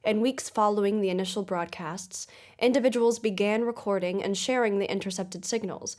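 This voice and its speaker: A composed investigative podcast host played by a woman, speaking at a middle pitch. She is formal and measured, narrating and questioning as in a scripted audio documentary. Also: close to an everyday voice. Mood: measured, serious.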